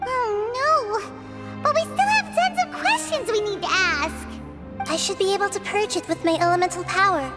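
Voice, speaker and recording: A small, childlike anime game girl, speaks in a very high voice with animated swings. The tone is earnest and gentle, easily excited. Music plays underneath. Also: normal speed. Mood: concerned, gentle. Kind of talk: scripted anime-style video game acting.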